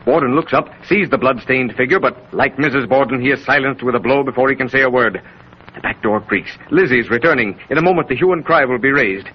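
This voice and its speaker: A man's voice: medium pitch, a little fast. A man narrating a scripted 1930s radio drama in a solemn, oratorical, emphatic manner. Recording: muffled, hissy 1930s radio recording.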